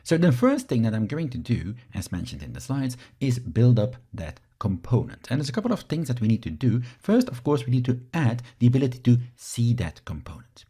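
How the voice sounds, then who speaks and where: A man from Belgium, a native Dutch speaker, explains each step as an instructor in a software screencast tutorial. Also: medium pitch, very animated, fairly quick, hardly any pauses, husky.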